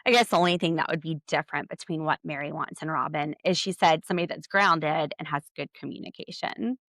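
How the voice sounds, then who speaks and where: A woman's voice: sits low, fairly quick, a bit bright, no room sound. A woman hosting a solo podcast, recapping a reality TV episode with sarcastic, exasperated commentary. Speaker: podcast host.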